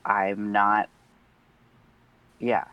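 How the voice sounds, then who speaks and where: A man's voice: on the low side, flat delivery, very slow, smooth, no echo, muffled recording. A young man co-hosting a podcast in casual chat, rambling with many fillers and nervous laughs. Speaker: podcast co-host.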